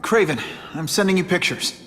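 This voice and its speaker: An actor playing a young, earnest, quippy superhero in a video game, talking casually and wryly. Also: male voice, high-pitched, moderate pace, background noise, natural voice. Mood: musing, determined. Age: young adult. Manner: casual, wry, slightly hesitant. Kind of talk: naturalistic video game acting.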